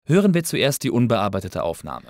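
A man narrating a scripted tutorial as an instructor, explaining things clearly and methodically. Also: on the low side, wildly swooping pitch, a little fast, no room sound, studio quality. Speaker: audio engineering instructor.

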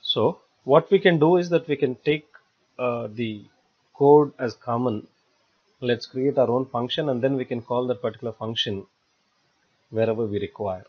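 A man narrating a step-by-step software tutorial in a halting, explanatory way. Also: mid-range voice. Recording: dull lo-fi voice-over recording.

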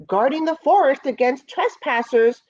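Huge cowardly storybook boar woman reader: pompous excuses, very animated. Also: high-pitched, moderate pace, lo-fi sound, pitched above the performer's own voice. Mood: self-important. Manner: blustering, apologetic. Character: huge boar, self-important but secretly cowardly. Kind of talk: children's storybook character reading.

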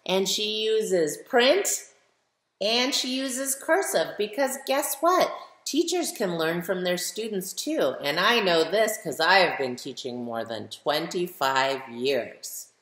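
A woman's voice: mid-range voice, very animated, slow pace, fairly bright tone. A woman of fifty reading a picture book aloud expressively, speaking directly to young listeners with questions and emphasis. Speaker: children's author reading aloud. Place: living room at home, indoors. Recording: roomy indoor webcam echo.

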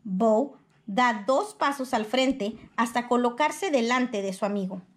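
A woman reading a children's picture book aloud in expressive storybook narration. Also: a little high, slow pace.

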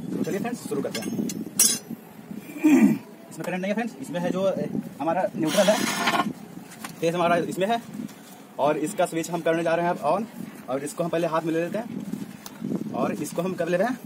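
A young man, narrating a casual step-by-step home experiment and chatting to viewers as friends. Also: fairly high pitch. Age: young adult. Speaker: DIY experiment vlogger. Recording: loud background noise outdoors.

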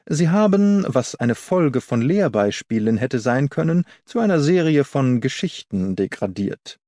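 A man's voice: medium pitch, big pitch swings, measured pace, warm tone, no room sound, clean studio sound. A man voicing a haughty, didactic detective in an audiobook, pompous and precise in his lecturing. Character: haughty, didactic male detective, proud of cold logic. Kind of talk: German audiobook character reading.

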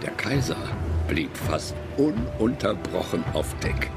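Measured, expressive loyal courtier. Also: male voice, low voice, loud music behind the voice, exaggerated voice. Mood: content. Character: loyal courtier companion of the Kaiser recounting a voyage. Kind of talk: historical radio play, recited memoir.

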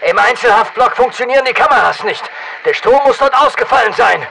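Battle-hardened special forces operator for a shooter game: high-pitched, fry-edged, clipped radio commands. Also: male voice, animated, fairly quick, pushed and bright, heavily acted, rough recording. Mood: focused. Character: masked male special forces soldier, terse and battle-hardened. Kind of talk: military radio callouts in a shooter game dub.

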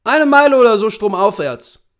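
A male narrator acts out a seasoned hunting guide from a storybook. He is gruff and laconic, snapping out short orders. The voice sits high and swings up and down energetically. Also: big pitch swings, normal speed. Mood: gruff, matter-of-fact. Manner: clipped, terse, commanding.

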